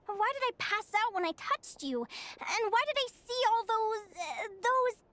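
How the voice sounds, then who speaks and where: A woman playing an earnest, stubborn young fae in a video game, expressive and insistent. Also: very high voice, unhurried, a little hiss or hum, heavily acted. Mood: questioning, intense.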